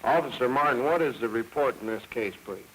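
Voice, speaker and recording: A man's voice: medium pitch, a little fast, a bit bright, rough recording. Stern male judge on old film. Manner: stern, official. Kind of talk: formal courtroom pronouncement. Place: courtroom. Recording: vintage film sound, faint noise.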